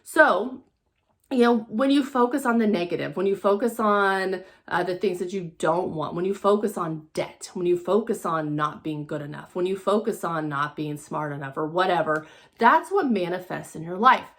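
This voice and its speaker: A woman's voice: fairly low pitch, moderate pace, fairly bright tone. A woman giving a casual, conversational motivational talk as a vlogger, repeating phrases for emphasis.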